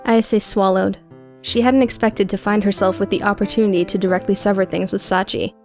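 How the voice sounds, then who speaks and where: A woman's voice: mid-range voice, steady tone, fairly quick, a little mellow. Woman narrating fiction over faint music. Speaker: audiobook narrator. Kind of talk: dramatic scripted narration.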